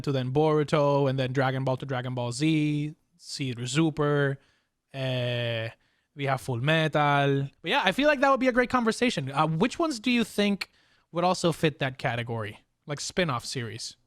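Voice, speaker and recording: A man hosting a casual fan discussion, talking informally and excitably, often repeating phrases as he goes. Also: on the high side, normal speed, dry recording, clean studio sound. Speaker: podcast host.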